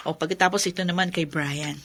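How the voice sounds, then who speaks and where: An actress playing an emotional, devoted mother in a Filipino radio drama, earnest and expressive. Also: on the low side, normal speed. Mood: warm, regretful. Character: emotional, devoted mother of special-needs children, regretful yet hopeful.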